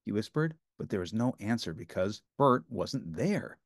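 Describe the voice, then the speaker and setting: A man narrating a children's storybook aloud in an expressive, scripted read-aloud style. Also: on the low side, normal speed, no echo, studio quality.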